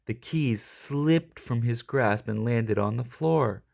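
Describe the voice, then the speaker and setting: Male narrator, medium pitch with noticeable fry, expressive read-aloud of a picture book for children. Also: takes it slowly. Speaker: storybook reader.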